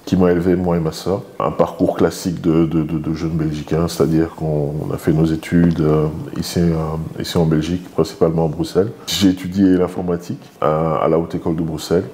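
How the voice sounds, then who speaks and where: A man's voice: sits low, flat delivery, a little fast, few pauses, warm tone. A man in his forties from Congo, answering interview questions thoughtfully, with many hesitations and repeated words. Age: 40s. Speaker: guest, father of the host. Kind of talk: relaxed interview talk.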